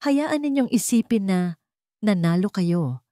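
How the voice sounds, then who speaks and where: A woman voicing a weary, betrayed old father with quiet determination, speaking his thoughts slowly and with restraint in an audio drama. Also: mid-range voice, animated, dry recording, studio quality. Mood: quiet resolve.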